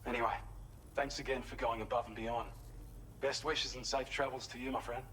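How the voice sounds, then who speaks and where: An actor playing a calm male facility contact in a video game, speaking in a measured, formal, friendly way. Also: mid-range voice, natural voice, low-quality recording. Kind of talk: scripted game dialogue.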